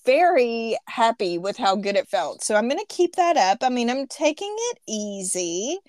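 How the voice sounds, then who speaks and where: Woman, thirties, casual chat, self-deprecating, slightly high, dry close sound. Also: very animated, moderate pace, darkish tone, dry recording. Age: 30s. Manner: self-deprecating, laughs often, trails off.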